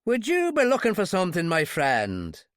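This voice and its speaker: A man voicing a gruff, suspicious middle-aged Irish rig foreman in an audiobook, blunt and rough in tone. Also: huge pitch swings, normal speed, a bit bright, no echo, clean studio sound, full character voice. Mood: truculent, suspicious. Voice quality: deep, rough. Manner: no-nonsense, blunt. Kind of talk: audiobook character voice.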